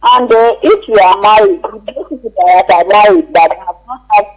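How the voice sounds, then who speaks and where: A Nigerian-accented man with a distinctly high voice gives his opinion as a radio caller. His delivery is unscripted and rambling, with halting, repeated phrases. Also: rough recording.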